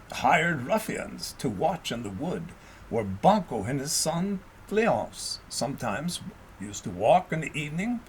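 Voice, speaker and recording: Male narrator for historical storybook audio: high register, very lively intonation, expressive measured read. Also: a little hiss or hum.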